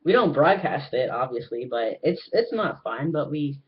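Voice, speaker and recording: A young man talking earnestly to camera in a personal vlog, pausing often with filler ums. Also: mid-range voice, very animated, highs cut off. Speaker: vlogger. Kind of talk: casual personal talk to camera. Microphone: webcam.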